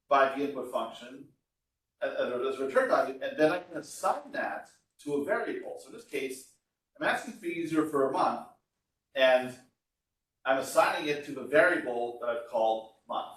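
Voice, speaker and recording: A man's voice: on the high side, animated, normal speed, a bit bright. Echoey, distant man lecturing informally. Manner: explains step by step, conversational. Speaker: university lecturer. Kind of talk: informal classroom lecture explaining code. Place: classroom. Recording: echoey room, voice far from mic.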